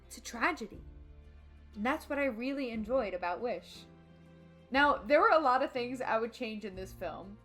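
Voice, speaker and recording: High-voiced woman giving an emphatic video essay. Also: animated, moderate pace, a bit bright. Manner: emphatic and dramatic, slipping into casual slang. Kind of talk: opinionated video essay commentary on a film. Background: background music.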